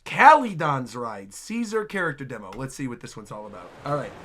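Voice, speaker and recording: Animated man reacting to game trailers. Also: medium pitch, fairly quick, noisy background. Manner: animated, exclaiming, playful mock outrage. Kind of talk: casual reaction commentary.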